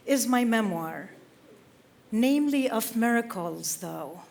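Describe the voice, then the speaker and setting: A woman from Lebanon giving an inspirational stage talk, slow and measured with dramatic pauses between phrases. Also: mid-range voice. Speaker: speaker. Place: theater stage.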